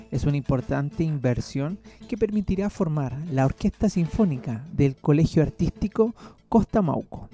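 A man's voice: on the high side, warm tone. A man reading a scripted news report. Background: background music.

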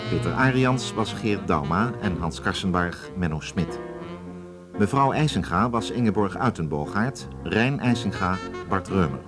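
A man's voice: deep voice, animated, unhurried. A man announcing in a formal, scripted manner as a radio drama announcer. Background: prominent music with brass and guitar.

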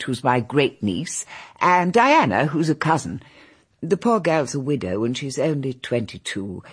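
A woman's voice: rumbling low, husky, heavily acted. An actress playing a genteel, confiding elderly English grandmother and hostess who rambles on in a radio drama. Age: older.

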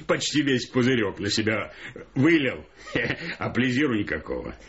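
An actor playing a crude, jovial, mocking roommate with folksy old-fashioned speech in a radio play. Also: male voice, medium pitch, very animated, moderate pace, fairly bright tone, muffled recording. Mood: mocking glee. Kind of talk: theatrical radio play acting.

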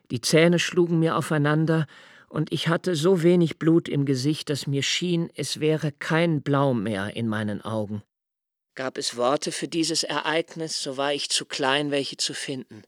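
An actor playing an introspective, haunted man who recalls his childhood in a literary radio play, with measured, precise phrasing and deliberate pauses. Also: a little high, a bit bright, no echo, studio quality. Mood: fearful, hushed. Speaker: radio drama narrator. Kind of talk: literary first-person radio play narration.

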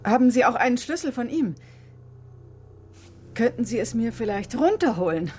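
An actress playing a bitter, sharp-tongued woman doctor in a radio drama, with a sardonic, controlled, defensive manner. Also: a little high, very animated, moderate pace, a little hiss or hum. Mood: wary, polite. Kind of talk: radio drama acting, confessional narration and dialogue.

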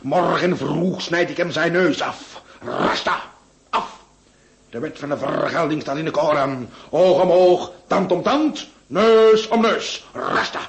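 A man's voice: a little high, takes it slowly. An actor with a Flemish accent playing a hot-tempered, offended Ottoman diplomat in a radio play, emphatic and stubborn. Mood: indignant, vengeful. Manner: emphatic, stubborn, oath-swearing.

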